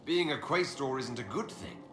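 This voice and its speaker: A man's voice: medium pitch, animated, normal speed, roomy sound, noisy background, lightly acted. A man playing a curious, earnest fantasy adventurer in a video game, asking things in a plain, inquisitive way. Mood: probing. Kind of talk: video game dialogue acting.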